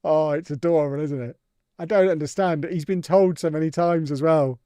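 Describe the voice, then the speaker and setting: A man's voice: on the high side, steady tone, normal speed, warm tone, no room sound, clean studio sound. A man streaming a football management game, chatting casually and reacting to viewer comments with amused indignation. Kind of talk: casual live-stream chat while playing.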